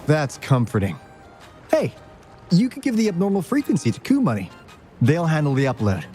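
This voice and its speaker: Suave male sci-fi guide for a video game; composed explainer with a lively melodic range. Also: fairly high pitch, normal speed. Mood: calm, explanatory. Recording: game music faintly behind.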